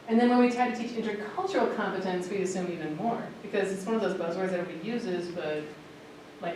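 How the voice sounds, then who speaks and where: A woman's voice: medium pitch, a little mellow, distant mic, a little hiss or hum. Chatty woman lecturing in an echoey room. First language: English. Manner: casual and chatty, with many fillers like "um" and "like". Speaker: language teacher presenting a workshop. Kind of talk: conference presentation talk.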